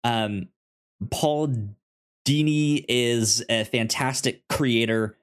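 Male podcast co-host, medium pitch, conversational, halting delivery with frequent stammered repeats. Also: clean tone. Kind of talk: casual chat about comic books.

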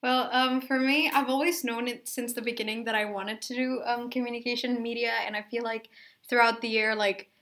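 A woman's voice: a little high, fairly even intonation, a little fast, a bit bright. A young woman from Indonesia, a university student guest, talking casually with many fillers like "um" and "like". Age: young adult. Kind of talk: casual chat.

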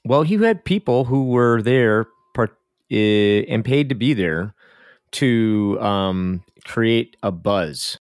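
A man's voice: fairly low pitch, animated, takes it slowly, smooth, dry recording, clean studio sound. A man hosting a podcast interview, easygoing and joking as he steers the conversation. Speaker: podcast host.

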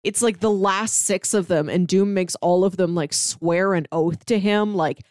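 A woman's voice: on the low side, measured pace, a little mellow, no room sound, clean studio sound. A woman co-hosting a podcast, discussing comic books casually in a conversational, enthusiastic fan's manner. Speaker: podcast co-host.

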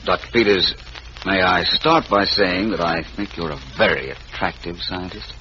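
An actor playing a suave, wry private detective in a 1940s-style radio mystery, polished and drily witty. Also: male voice, low-pitched, moderate pace, pushed and bright. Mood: smooth, probing. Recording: muffled, hissy old radio recording.

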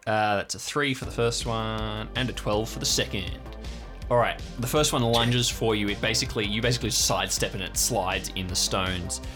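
A man's voice: lowish voice, moderate pace. A man acting as game master, narrating an improvised tabletop adventure with vivid description. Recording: music behind the voice.

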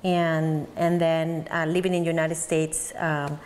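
A woman's voice: low-pitched, level tone, a little slow. Mexican-accented woman in a reverberant room. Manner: thoughtful, searching for words, soft laughs. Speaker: interviewee. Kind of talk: oral history interview. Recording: long hall reverb, faint background noise.

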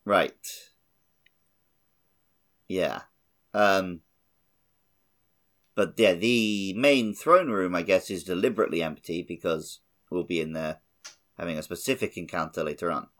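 A man's voice: deep voice, unhurried, long pauses, fairly bright tone. A man co-hosting a podcast, talking casually about video games in a conversational way. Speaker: podcast co-host. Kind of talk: casual video game discussion.

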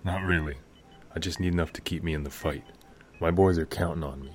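A man's voice: low-pitched, brisk, slight background noise, lightly acted. A man playing a stoic wounded soldier in an audio drama. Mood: stoic, impatient.

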